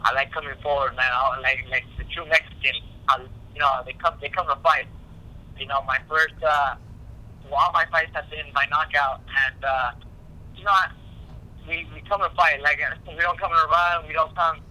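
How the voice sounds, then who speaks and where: Man, very bright tone, slightly high pitch, phone interviewee, casual, halting, repetitive phrasing. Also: steady tone. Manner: halting, repetitive, frequent "you know". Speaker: professional boxer being interviewed. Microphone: telephone call. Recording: tinny phone line.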